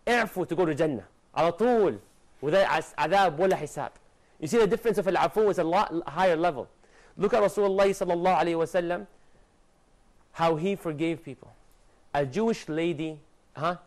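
A young man presenting a religious TV lesson to studio guests, animated and gesturing, reciting Arabic verses quickly, on a lapel mic in a studio. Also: fairly high pitch.

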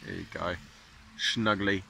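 Low-voiced father coaxing his son. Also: steady tone, a little slow, creaky, pushed and bright. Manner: encouraging, coaxing, playful with a child. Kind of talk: casual family vlog. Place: outdoors on a small motorboat on open water. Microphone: phone camera held close. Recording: windy outdoor phone recording. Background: wind noise and boat engine.

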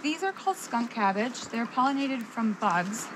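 A woman's voice: a little high, moderate pace, background noise. Woman trail guide explaining plants outdoors. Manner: relaxed, with fillers.

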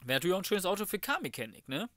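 A man's voice: high voice, big pitch swings, very fast, pushed and bright, dry recording. A man giving casual gaming commentary, thinking aloud in a colloquial, cheeky way. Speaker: let's player.

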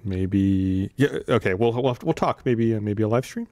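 A man's voice: fairly low pitch, normal speed, a little mellow, no room sound. A man in his thirties co-hosting a gaming podcast, chatting casually with a teasing, joking manner. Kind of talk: casual chat. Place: podcast studio, indoors. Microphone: studio podcast microphone at desk.

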